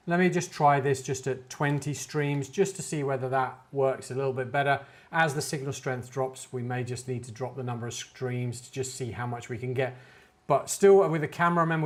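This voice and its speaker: A man giving an informal, hands-on tech demonstration, talking it through hesitantly as he works. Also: medium pitch. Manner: hesitant, thinking aloud while working. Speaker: tech reviewer demonstrating network gear.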